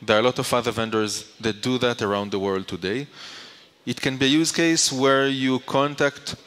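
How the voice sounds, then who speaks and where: A man's voice: mid-range voice, fairly bright tone, clean tone. Man presenting in a reverberant hall. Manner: explanatory, poses questions then answers them. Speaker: conference speaker. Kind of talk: conference presentation to an audience. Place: large conference hall. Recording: reverberant hall, long echo.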